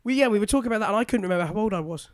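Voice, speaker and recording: Man, early twenties, high voice, lively swoops, casual banter, teasing. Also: rapid-fire, no room sound. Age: early 20s.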